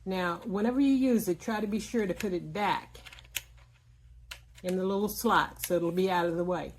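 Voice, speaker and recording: A woman giving a casual, explanatory product demonstration in plain words, often pausing mid-sentence. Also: lowish voice, slight background noise. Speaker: product reviewer.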